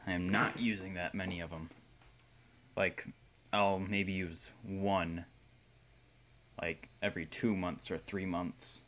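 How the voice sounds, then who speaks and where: A man's voice: deep voice, steady tone, slow pace, long pauses, fairly bright tone, a little hiss or hum. A man giving casual repair commentary as a hobbyist, rambling and self-deprecating as he thinks aloud. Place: indoor workshop bench.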